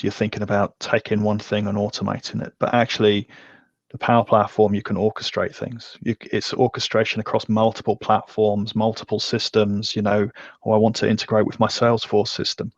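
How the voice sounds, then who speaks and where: A man's voice: lowish voice, no room sound, lo-fi sound. A man explaining technical subjects conversationally as an interview guest, talking in a discursive way. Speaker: podcast guest, technical architect.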